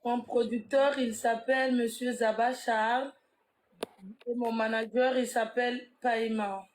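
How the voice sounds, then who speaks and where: Burkinabè singer answering briefly. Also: female voice, a little high, level tone, a little slow, a little mellow, reverberant, slight background noise, rough recording. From Burkina Faso, born in Kinshasa. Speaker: guest musician. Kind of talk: interview answers.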